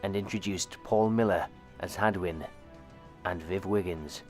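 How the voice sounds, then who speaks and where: Male announcer, low pitch, scripted credits read, faint music behind. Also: unhurried, a little mellow. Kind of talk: scripted narration.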